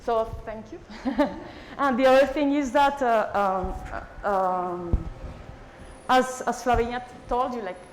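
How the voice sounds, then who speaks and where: Excited woman lecturer from Colombia. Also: on the high side, takes it slowly, slight background noise, rough recording. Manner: excited, friendly, laughs. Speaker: guest lecturer. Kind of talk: lecture opening. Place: lecture room.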